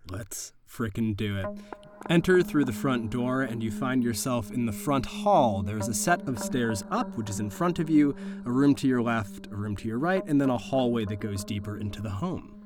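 Guy game mastering a spooky tabletop game, middle pitch, wry n sweary, bit of music under it. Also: expressive, normal speed. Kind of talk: casual improvised narration of a tabletop horror game.

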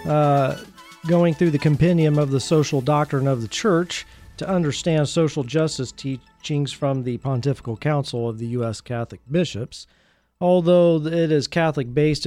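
Male American podcast host, slightly higher-pitched voice, conversational and meandering, in a dry studio-like sound. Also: darkish tone, no room sound. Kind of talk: informal solo podcast monologue.

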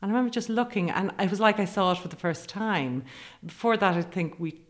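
A woman hosting a podcast, interviewing a guest in a relaxed conversational style. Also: mid-range voice, fairly quick. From Ireland.

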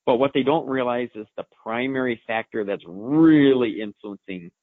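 A man's voice: mid-range voice, moderate pace, dry recording. A man, a horse science expert, answering listener questions in an explanatory way and sometimes correcting himself mid-sentence. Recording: dry tinny phone line.

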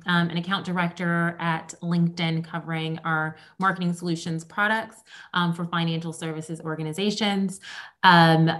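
A woman's voice: on the low side, fairly bright tone. A woman speaking as a guest at a live fireside chat, chatty and upbeat with many fillers like um and kind of.

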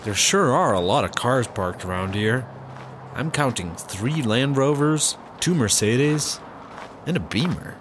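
A man's voice: medium pitch, expressive, unhurried, background noise, close to an everyday voice. A man acting an uptight, practical, anxious straight man in a sci-fi comedy audio drama. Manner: wary, exasperated straight man. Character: uptight, anxious, practical male partner prone to paranoia.

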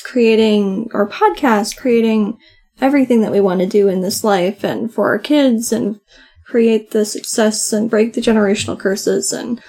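A soft-voiced woman co-hosting a podcast, talking casually and often saying "like". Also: on the high side, breathy edge. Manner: chatty, many "like" fillers. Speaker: podcast co-host. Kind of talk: casual conversation.

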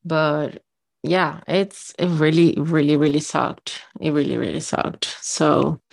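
A woman's voice: low voice, steady tone, slow pace, gravelly. A woman from Brazil with a Brazilian Portuguese accent, co-hosting a podcast and telling a personal story in a candid, emotional way with many fillers. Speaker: podcast co-host. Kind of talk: casual chat.

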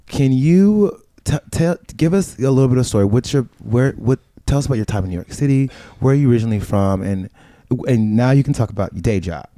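A man hosting a casual podcast conversation, chatty and animated, with playful asides. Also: mid-range voice, fairly quick, dry recording. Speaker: podcast host.

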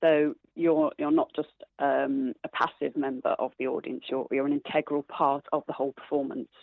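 A woman's voice: a bass voice, level tone, normal speed, vocal fry, no room sound. Woman on a thin, phone-like line. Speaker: amateur theatre actor being interviewed. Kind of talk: casual radio interview chat.

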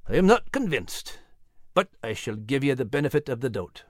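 A man's voice: mid-range voice, big pitch swings, normal speed, dry recording, clean studio sound. A man voicing a smug, skeptical older reverend with a pompous, disdainful manner.

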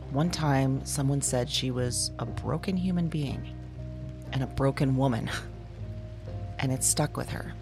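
A woman playing an uneasy, self-conscious dreamer in an audio drama, speaking haltingly into a voice memo and trailing off. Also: a bass voice, exaggerated voice. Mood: frustrated, fading.

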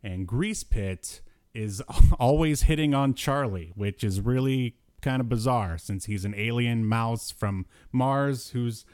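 A man co-hosting a podcast in casual, unscripted talk, often halting as he gathers his thoughts. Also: medium pitch, a little mellow, clean tone, no echo, studio quality. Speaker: podcast co-host.

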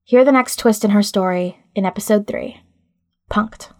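A woman presenter with a mid-pitched voice. She reads a scripted introduction for an audio drama episode in complete, measured sentences.

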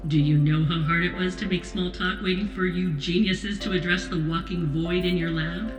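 A woman's voice: on the low side, steady tone, normal speed, reverberant, music in the background, natural voice. A woman playing a gracious, wry national leader in an audio drama, speaking in a polished, composed way. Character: female president, gracious, wry, authoritative leader.

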